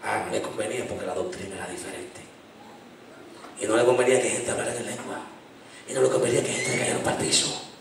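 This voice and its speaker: A middle-aged man preaching a fervent sermon into a handheld mic in a small church, shouting at the peaks and dropping low in between. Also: fast talker, creaky, pauses often, recorded from a distance, background noise. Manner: impassioned preaching, shouting at climaxes. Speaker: pastor. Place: small church sanctuary, indoors. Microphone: handheld mic, filmed from far. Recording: very echoey church from afar.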